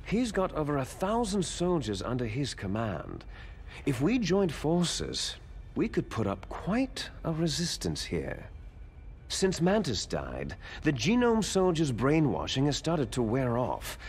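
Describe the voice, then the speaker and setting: An actor playing an arrogant, cunning terrorist boss in a video game cutscene, commanding, theatrical and contemptuous in manner. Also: male voice, fairly high pitch, wildly swooping pitch, unhurried, a little mellow, husky, heavily acted. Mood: scornful.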